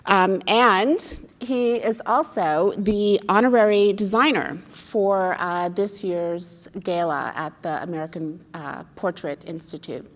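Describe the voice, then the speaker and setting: A middle-aged woman hosting a live interview, asking measured questions with many "uh" fillers. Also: medium pitch, slight background noise. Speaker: interviewer, fashion critic.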